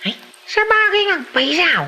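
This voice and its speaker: A woman's voice: extremely high pitch, animated, moderate pace, bright, forceful tone, slight background noise, heavily acted, rough recording. A woman acting a reluctant, grumbling young villager in an Ilocano comedy drama, with an Ilocano accent. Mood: pleading.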